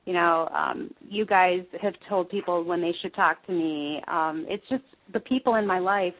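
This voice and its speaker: A woman's voice: fairly low pitch, moderate pace. A woman working as a lawyer, talking about networking in a casual chat, upbeat and laughing easily. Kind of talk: casual conversation. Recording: dull lo-fi audio.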